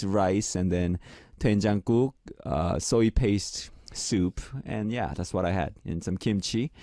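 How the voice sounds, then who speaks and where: Deep-voiced Korean man hosting radio. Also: dry recording, studio quality. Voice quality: deep speaking voice. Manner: relaxed, chatty, reads listener messages aloud. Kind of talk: casual radio show hosting.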